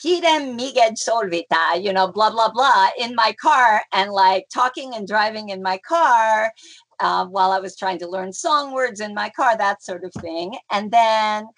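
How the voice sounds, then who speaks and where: A woman's voice: medium pitch, animated, unhurried, fairly bright tone. A middle-aged woman from North America, a folk dancer being interviewed, talking in a chatty, rambling way with many fillers and restarts. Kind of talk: casual conversational interview.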